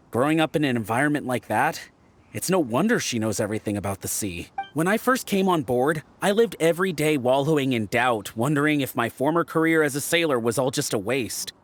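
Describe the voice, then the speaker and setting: A man's voice: medium pitch, expressive, normal speed, lightly acted. A man voicing a friendly, proud ship's lookout in a video game, chatty and explanatory, with music behind him. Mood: sincere, admiring. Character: friendly, proud male ship's lookout teaching weather lore. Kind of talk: scripted video game dialogue.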